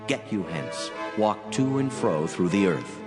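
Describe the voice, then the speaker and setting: A man playing a solemn angel of God in a dramatized scripture reading, speaking slowly and gravely in a declamatory way. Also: on the low side, very animated. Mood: commanding. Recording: long cavernous reverb over loud music.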